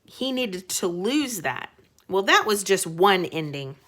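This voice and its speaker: A woman is giving a reading lesson for young children. Her pace is unhurried and her pitch moves up and down a great deal. She explains in plain conversational language, dropping in short questions to check understanding. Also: very animated, fairly bright tone. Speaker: elementary school teacher.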